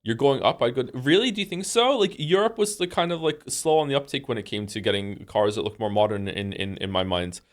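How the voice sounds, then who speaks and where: A man from Wales livestreaming, thinking aloud in a rambling, excitable, incredulous way as he chats casually with viewers during a game. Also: mid-range voice, fairly quick, few pauses. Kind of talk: casual chat with stream viewers while playing a guessing game.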